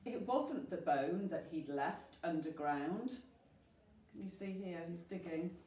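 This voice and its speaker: Unhurried woman reading in a roomy space. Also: fairly low pitch. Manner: expressive, asks the listeners questions. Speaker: storyteller at a school. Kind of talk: rhyming children's story read aloud. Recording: roomy, distant mic with faint noise.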